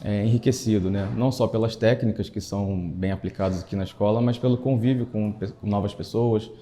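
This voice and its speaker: A man's voice: on the low side, fairly even intonation, a little fast, warm tone, a little hiss or hum. A man with a Brazilian accent, a chef instructor giving a reflective, emphatic testimonial for a documentary in short built-up phrases.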